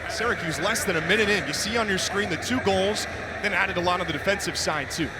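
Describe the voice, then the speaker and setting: A man doing live sports play-by-play with an excitable, punchy delivery. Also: high-pitched, fast talker. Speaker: play-by-play announcer. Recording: loud arena noise behind commentary.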